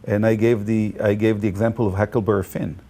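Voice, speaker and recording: A man from Israel with an Israeli accent and Hebrew as his first language, talking as a guest and tour guide in a halting way, full of fillers and restarts. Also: on the low side, level tone, warm tone. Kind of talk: casual conversation.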